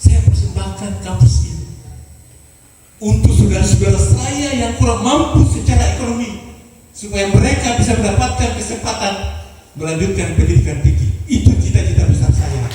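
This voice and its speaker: A man's voice: high voice, normal speed, warm tone. Older man orating in an echoey hall. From Southeast Sulawesi, Indonesia. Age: 70s. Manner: rousing, repetitive oratory with rhetorical questions. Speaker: university foundation chairman. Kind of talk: ceremonial speech to new students. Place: large hall. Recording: echoey hall from far off, noisy.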